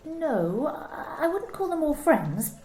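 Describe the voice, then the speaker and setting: An actress playing a guarded young female sales worker who answers haltingly in an amateur radio play. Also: high-pitched, big pitch swings, a little mellow, heavily acted. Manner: hesitant, defensive, halting. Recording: roomy home recording, faint hiss.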